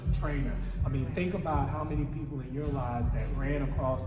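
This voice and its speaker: A man who coaches fitness, talking in a loud, hyped, animated way with a habit of repeating stock phrases. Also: medium pitch, fairly quick. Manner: repeats "sit up here" as a habit. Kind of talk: casual podcast interview. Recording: echoey hall, distant mic, loud music.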